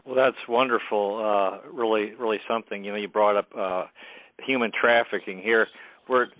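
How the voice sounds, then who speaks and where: A man hosting a sports history podcast, speaking haltingly as he searches for his words. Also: on the low side, steady tone, no room sound. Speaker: podcast host. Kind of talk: casual interview talk. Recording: dry, tinny phone-like line.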